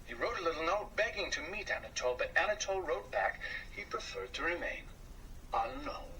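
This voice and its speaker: A man reads a children's storybook aloud, expressive and now and then dropping to a hush. His voice is a bit high, lively and very bright. It comes from a distance with a faint hiss behind. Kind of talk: children's book read-aloud.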